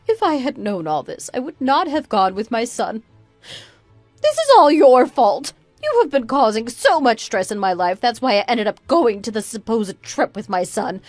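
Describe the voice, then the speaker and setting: A woman's voice: on the high side, no room sound. Woman acting a swooping, pleading older mother-in-law. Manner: wheedling, guilt-tripping. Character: pleading, manipulative older mother-in-law, self-pitying and indignant. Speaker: voice actor in an online radio drama. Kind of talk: melodramatic radio drama dialogue.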